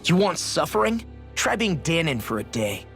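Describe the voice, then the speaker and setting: An actor playing a wry, scornful young rebel fighter in a video game, with a sardonic delivery. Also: male voice, fairly high pitch, sing-song, normal speed, creaky, fairly bright tone, faint music behind, exaggerated voice. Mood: bitter. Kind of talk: dramatic video game acting.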